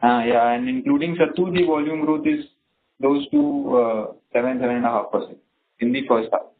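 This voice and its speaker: A man with an Indian accent answering as a company executive on an earnings call, halting and measured, pausing between short phrases. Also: mid-range voice, very animated, a little hiss or hum. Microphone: conference phone call. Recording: narrow tinny phone line.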